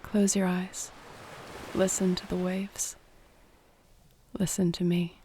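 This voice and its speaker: Gentle mellow mermaid, voiced by a woman. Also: fairly low pitch, little pitch movement. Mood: soothing, serene. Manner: soft-spoken, unhurried, soothing, slightly formal wording. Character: gentle, serene female mermaid guarding a sea cove. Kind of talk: sleep-aid audio roleplay, soothing bedtime monologue. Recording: faint ambient ocean noise behind.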